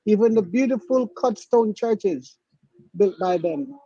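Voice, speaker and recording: Very high-voiced Jamaican man, muffled. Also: animated, unhurried, mellow, slightly rough, dry recording. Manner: insistent, proud, repeats himself. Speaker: guest in a live debate. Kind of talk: live online debate.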